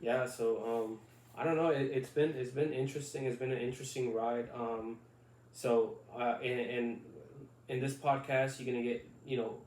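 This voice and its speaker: A man in his thirties from Puerto Rico co-hosting a casual podcast, talking haltingly with many fillers and restarts. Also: medium pitch, fairly even intonation, a little mellow. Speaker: podcast co-host. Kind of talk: casual chat. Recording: echoey room, mic at a distance, faint noise.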